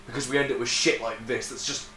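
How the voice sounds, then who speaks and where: A man's voice: mid-range voice, fairly even intonation, fast, bright, forceful tone. A man explaining things to friends like a keen fan, opinionated, emphatic and swearing heavily. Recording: roomy, distant mic, faint noise.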